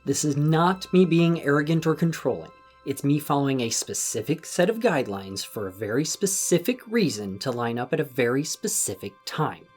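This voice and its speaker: A man acting a husky, stern villainous enforcer. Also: on the high side, expressive, normal speed, few pauses. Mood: stern, insistent. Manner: cold, commanding, condescending. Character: stern, secretive male enforcer of a mysterious patron. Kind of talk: audio drama acting. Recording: music under the voice.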